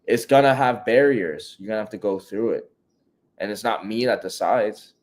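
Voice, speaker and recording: Male co-host, New York accent, low-ish, blunt and slangy. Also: moderate pace, a little mellow. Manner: blunt, emphatic, slangy with "yo" and "bro". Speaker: co-host of a live coaching Q&A. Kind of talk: casual live-stream chat.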